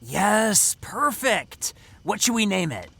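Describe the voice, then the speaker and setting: A woman's voice: mid-range voice, big pitch swings, slow pace, gravelly, a bit bright, husky, full character voice. A woman playing a whimsical, laid-back young female camp counselor in an audio drama, playful and casual. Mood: goofy.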